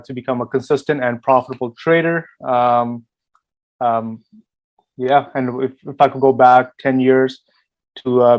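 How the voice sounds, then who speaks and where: Canadian guy, monotone, ums a lot, muffled dry call sound. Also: medium pitch, no echo. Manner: hesitant, many ums. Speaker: course participant. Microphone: video call. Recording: dull lo-fi call audio.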